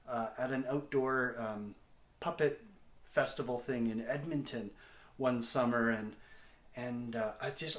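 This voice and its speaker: Canadian guy doing a casual video diary, kinda halting and self-deprecating, roomy echo on it. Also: mid-range voice. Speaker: comedic actor and video diary host. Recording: echoey, roomy sound.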